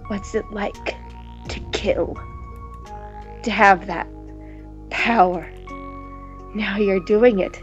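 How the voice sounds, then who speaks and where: A woman voicing a sinister, wounded man in a visual novel, mocking in halting, half-whispered taunts. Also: mid-range voice.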